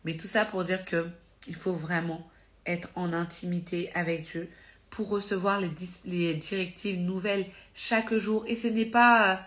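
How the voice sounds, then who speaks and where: A woman's voice: on the low side, fairly even intonation, moderate pace, a bit bright. A woman with a slight cold, teaching about faith on a live stream in an informal, conversational way, full of fillers and self-corrections. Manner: earnest and encouraging.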